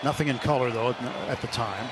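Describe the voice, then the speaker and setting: A man's voice: mid-range voice, quick pace. Laid-back male radio color commentator. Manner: relaxed, anecdotal, small restarts. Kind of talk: casual booth chat. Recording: radio broadcast with crowd noise behind.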